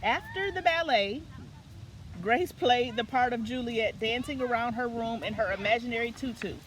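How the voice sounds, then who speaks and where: A woman's voice: on the high side, normal speed, a bit bright, a little hiss or hum. A woman reading a children's picture book aloud as a story time reader, now and then stumbling and correcting herself.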